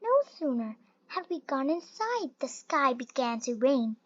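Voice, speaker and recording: A very high, youthful voice reads a rhyming picture book aloud. The delivery is slow and deliberate, with lively swings in tone. The sound is slightly dull and dry. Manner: slow, deliberate, expressive storytelling. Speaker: storybook reader. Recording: dull lo-fi recording, little room sound.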